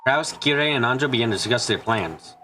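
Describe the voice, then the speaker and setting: Fast-reading man with noise behind him. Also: medium pitch, expressive, bright, forceful tone. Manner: stumbles over lines and corrects himself. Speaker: streamer reading a visual novel aloud in translation. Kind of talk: amateur dramatic reading and narration.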